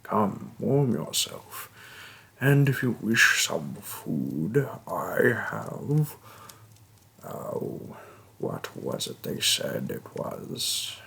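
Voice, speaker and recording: A man acts out a hulking orc shaman from a fantasy audio roleplay. The voice is low and husky, with a heavy rasp of fry. He speaks very slowly and haltingly, a gentle healer calmly reassuring the listener. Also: heavily acted. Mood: gently caring. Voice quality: gravelly, husky, breathy low voice.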